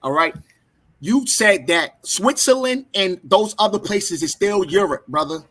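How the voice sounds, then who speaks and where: A man's voice: sits high, animated, measured pace, a bit bright. A man in a heated debate, explaining his side and cutting in with repeated calls to hold on. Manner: keeps saying hold on, explains patiently.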